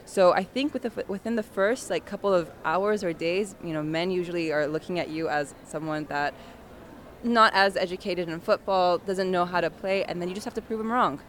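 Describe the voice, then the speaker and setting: A woman, a national team head coach, answering interview questions in a determined, energetic way with many fillers. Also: on the low side, a little fast, slight background noise. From United States.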